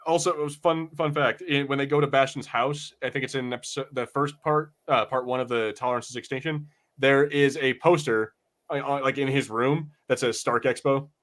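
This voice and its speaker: A man's voice: mid-range voice, steady tone, normal speed, a bit bright. A man co-hosting a podcast, chatting casually about pop culture in a profane, exasperated ranting manner. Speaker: podcast co-host.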